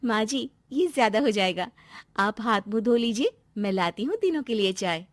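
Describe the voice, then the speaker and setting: Woman playing polite, animated young daughter-in-law. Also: on the high side, moderate pace, no echo, lightly acted. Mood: cheerful, obliging.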